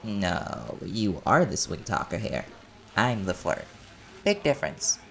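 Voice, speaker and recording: A man's voice: fairly low pitch, big pitch swings, takes it slowly, vocal fry, a bit bright, heavily acted. A man playing an easygoing, flirtatious reporter in an audio roleplay, with a playful, teasing manner. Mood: playful, flirty. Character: easygoing, flirtatious reporter, smooth sweet talker.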